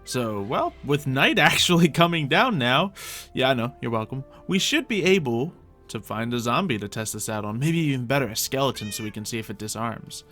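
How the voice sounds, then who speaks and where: A man with a medium-pitched voice hosts an improvised video game roleplay. He chats casually to himself, thinking aloud as he plays. Soft music runs behind his voice. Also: animated, a little fast. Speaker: gaming video host playing in character.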